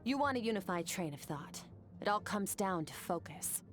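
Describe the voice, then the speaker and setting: An actress playing a calm, stern young sword master in a video game, speaking in a measured, matter-of-fact way. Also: female voice, medium pitch, over music, close to an everyday voice. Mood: cool approval. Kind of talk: scripted video game dialogue.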